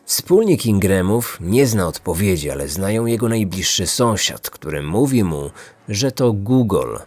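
A man's voice: lowish voice, sing-song, measured pace, a little mellow, husky, dry recording. A man narrating a scripted true-crime story as a podcast host. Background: background music.

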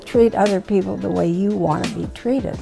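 A woman's voice: medium pitch, normal speed, creaky, music in the background. An older woman speaking proudly and wryly about her children and long marriage in a home interview. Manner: warm, proud, gently wry. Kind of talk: reminiscing interview. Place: living room at home.

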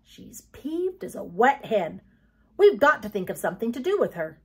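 A woman's voice: fairly high pitch, very animated, moderate pace, a little mellow, breathy edge, heavily acted. A woman voicing an anxious, earnest young girl with an urgent, pleading way of talking. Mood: worried.

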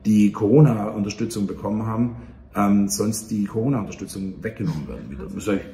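A man in his fifties talking earnestly and haltingly in a casual interview. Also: on the low side, mellow. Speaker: theatre operator, interview guest. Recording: echoey room, faint ambient noise.

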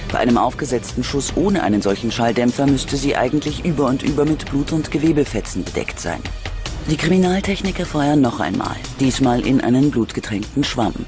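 A man tells a true-crime story as a documentary narrator, reading from a script. His mid-range voice moves widely in pitch, with measured, dramatic phrasing. Music plays behind him. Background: background music.

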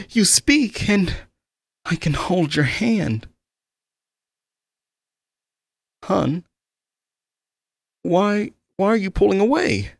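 Audio roleplay lead: male actor as unhinged, devoted young man, unhurried, pleading, close and dry sound. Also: sits high, dry recording, heavily acted. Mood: desperate pleading. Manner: tender, pleading, fervent. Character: obsessed, lovesick young male game developer, possessive and unhinged. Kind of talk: audio roleplay, intimate monologue to the listener.

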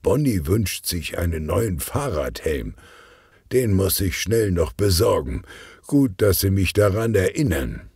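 A cheerful, portly police chief , as a male reader puts him on from a children's audiobook. His low voice takes its time and swings through lively inflections, teasing and good-humoured. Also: a little slow, husky, no echo, clean studio sound, heavily acted. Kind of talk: children's audiobook character reading.

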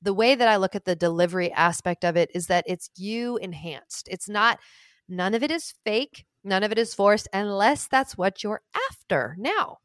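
A young woman co-hosting a podcast over a remote call, giving relaxed advice in a chatty way full of fillers and "like". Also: medium pitch, moderate pace, no room sound, clean studio sound. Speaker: podcast co-host, intimacy coach. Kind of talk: casual advice chat. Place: small room at home. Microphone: studio mic on stand, headphones, remote call.

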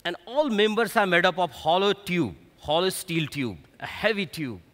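A middle-aged man with an Indian accent giving a halting, explanatory technical presentation at a conference. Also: high voice, expressive, a bit bright. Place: stage of a large conference hall. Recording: echoey large hall PA sound.

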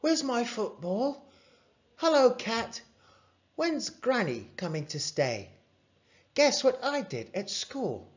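A chatty young boy from a storybook, as the reader puts him on, talking in a raised, childlike voice that sinks to a croaky whisper. The recording is dull and lo-fi. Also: mid-range voice, very animated, takes it slowly, long pauses, voice pushed up.

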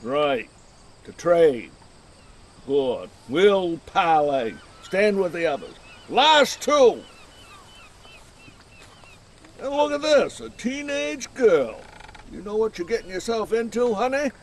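An actor playing a wary, folksy old lighthouse keeper in an audio drama, gruff and drawling. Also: male voice, high voice, big pitch swings, slow pace, slight background noise, full character voice. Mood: wary, commanding. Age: older.

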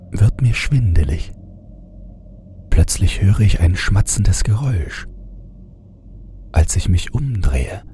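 A man narrating a scripted horror audiobook in a deliberate, suspenseful manner, sometimes hushed. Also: low voice, slight background noise.

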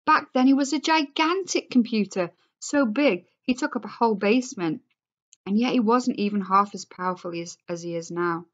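A woman reading aloud as a gentle, thoughtful grown man who is a scientist. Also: medium pitch, moderate pace, muffled recording, voice pushed up, lightly acted. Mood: earnest. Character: kind, thoughtful adult male scientist.

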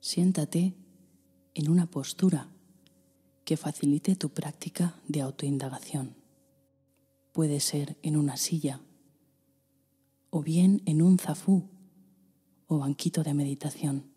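A woman with a Spain Spanish accent, guiding a meditation in a slow, soft voice that often drops into a whisper. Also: low voice, steady tone, long reverb tail. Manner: slow, soft, breathy delivery with whispered passages. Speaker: meditation guide. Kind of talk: guided meditation.

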